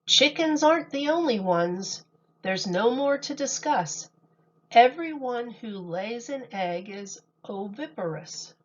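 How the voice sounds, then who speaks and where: A woman teacher reading a picture book aloud to young children in a deliberate, expressive storytelling manner. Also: on the high side, muffled recording.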